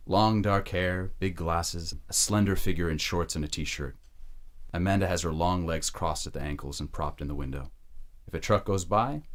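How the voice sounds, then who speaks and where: A man narrating an audio drama in a scripted, present-tense storytelling style. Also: low-pitched.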